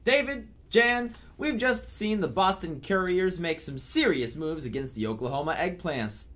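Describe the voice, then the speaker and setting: A woman's voice: lowish voice, animated, moderate pace. A woman playing a bitter, needy divorced male sportscaster in a theatrical comedic table reading, with melodramatic announcer phrasing. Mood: mock-professional bitterness.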